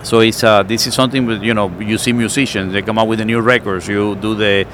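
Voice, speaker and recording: A middle-aged man from Cuba speaks with a Cuban accent in a lowish voice, pausing often and reaching for words with "uh" and "you know." The talk is recorded outdoors, with clear street and traffic noise behind him. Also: little pitch movement, fairly bright tone. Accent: Cuban Spanish. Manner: searching for words with many fillers. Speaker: cigar maker. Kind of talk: casual chat.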